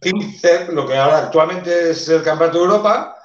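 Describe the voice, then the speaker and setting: A man on a video call explaining motorcycle engineering in a live debate, with many pauses and restarts. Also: a little high. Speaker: engineer panelist. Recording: roomy, dull video call audio.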